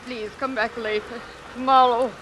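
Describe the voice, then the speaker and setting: An actress playing a distraught, weary widow, pleading and halting, in a 1940s radio drama. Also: female voice, on the high side, level tone, natural voice. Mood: grieving, pleading. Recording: noisy vintage radio, rain over it.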